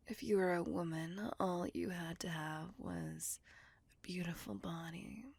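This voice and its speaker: Woman acting a breathy, whispering, seductive vampire mistress. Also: lowish voice, exaggerated voice. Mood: wistful storytelling. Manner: slow, soft, coaxing. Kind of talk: ASMR roleplay, intimate and whispered.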